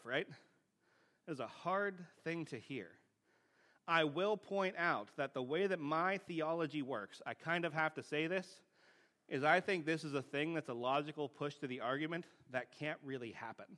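Guy preaching, medium pitch, casual teaching style, plain talk, keeps tossing questions to the listeners. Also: fairly bright tone. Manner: plain-spoken, often checks in with rhetorical questions. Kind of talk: conversational teaching sermon.

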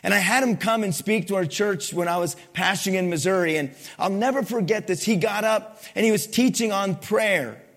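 A male pastor preaching a sermon to his congregation, asking it questions and swinging between loud emphasis and soft, low lines. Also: a little fast, few pauses. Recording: long hall reverb.

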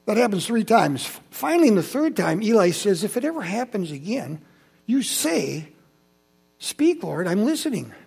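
A man's voice: high-pitched, big pitch swings, moderate pace, gravelly, darkish tone, husky. A man in his seventies, from Holland, Michigan, telling Bible and childhood stories to a congregation in a chatty, conversational way with asides to the listeners. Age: 70s. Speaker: church speaker. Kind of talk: personal sermon storytelling.

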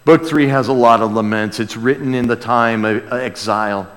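A man's voice: mid-range voice, steady tone, normal speed. A man preaching a conversational sermon as a pastor, earnest and exclamatory, often repeating phrases for emphasis. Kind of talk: sermon, conversational Bible teaching with call and response. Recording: long hall reverb of a large room.

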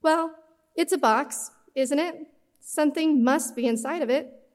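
Woman reading as cheery gentle storybook guy, high voice, encouraging, echoey hall reverb. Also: measured pace. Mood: cheerful, curious. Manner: inviting, encouraging. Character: cheerful, gentle male storybook friend, carefree and caring.

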